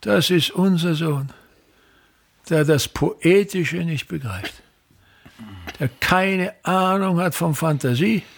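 An actor playing a pompous, domineering old theatre patriarch in a radio play, complaining theatrically in a raspy voice and repeating his phrases. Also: fairly high pitch, takes it slowly, long pauses, heavily acted. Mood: disappointed, sentimental. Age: older. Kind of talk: stage-like radio play acting.

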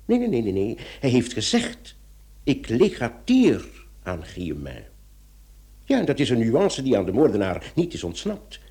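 An actor playing a wry, brisk police commissioner questioning suspects in a radio play, with an authoritative manner. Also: male voice, mid-range voice, wildly swooping pitch, warm tone. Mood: explaining, brisk. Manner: authoritative, switching from casual to interrogating. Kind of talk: Dutch-language radio drama acting.

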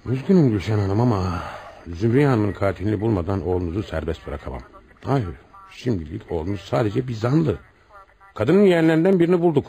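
An actor playing a stern, commanding police commissioner in a radio play, questioning suspects brusquely and with authority. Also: male voice, on the low side, gravelly, mellow. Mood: apologetic, firm. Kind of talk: radio theatre acting.

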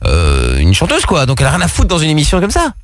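A man's voice: fairly high pitch, huge pitch swings, quick pace, no echo. A man hosting a casual radio call-in show from a studio, rambling and joking with fillers. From Belgium. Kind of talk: casual late-night radio banter.

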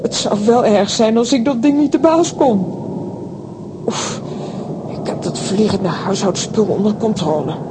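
A woman acting an excitable, exclamatory female character in a children's audio drama. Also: a little slow, close to an everyday voice. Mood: excited. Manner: theatrical, exclamatory. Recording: faint noise and low rumble.

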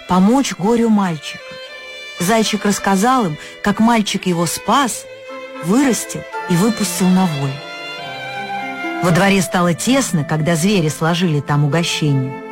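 A woman narrating a folk fairy tale for an audio story, expressive and lifting her pitch on key words.

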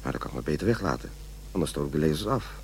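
A man's voice: extremely low pitch, lively intonation, racing, creaky, slight background noise, exaggerated voice. An actor playing a fussy, self-important man who dictates his journal and mutters corrections, in a radio play. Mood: pompous, hesitant.

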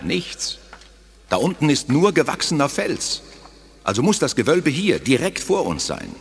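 An alert, dutiful mission member in a science fiction radio play. His husky voice swings widely in pitch and rings in long, cavernous reverb. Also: huge pitch swings, measured pace, full character voice. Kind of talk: German audio drama acting.

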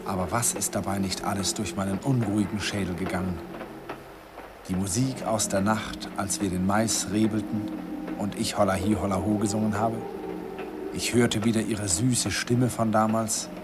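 A man's voice: fairly low pitch, steady tone, moderate pace, lightly acted. An actor narrating as an older man wistfully recalling his youth in a classic radio play. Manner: wistful storytelling, chuckling. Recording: hissy old radio recording.

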